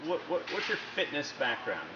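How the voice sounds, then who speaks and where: A man's voice: fairly high pitch, big pitch swings, fairly quick. A man working as a fitness trainer, asking questions in a casual interview. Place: gym. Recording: echoey, muffled, noisy room recording.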